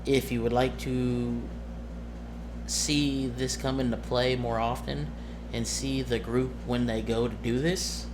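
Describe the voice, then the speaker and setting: A man's voice: medium pitch, level tone, takes it slowly. A man talking casually and informally about his tabletop roleplaying game as game master, rambling and correcting himself as he goes. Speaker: amateur game master, presenter of the video. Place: indoors at a desk with monitor and cameras. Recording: noisy home recording with room ambience.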